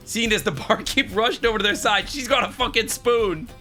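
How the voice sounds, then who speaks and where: A man's voice: sits high, normal speed, bright, forceful tone, faint music behind. A man livestreaming a game in a slangy, sweary, casual way as his voice tires. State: voice tiring, losing it. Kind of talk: casual reactions and reading game text aloud.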